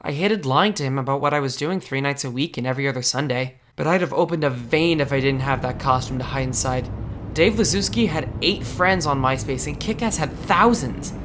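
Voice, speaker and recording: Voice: mid-range voice, a little fast, slight background noise, lightly acted. A performer playing a sarcastic, insecure teenage boy who narrates his would-be superhero life with wry self-mockery in a comic dub. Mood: wry, frustrated. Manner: wry, self-mocking, expressive. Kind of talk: first-person narration and comic dub acting. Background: music.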